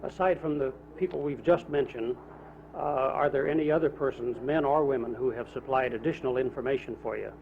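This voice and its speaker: A man's voice: mid-range voice, a little fast, vocal fry. A man with an American accent working as a news reporter, putting questions in a press interview. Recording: noisy lo-fi archival newsreel sound.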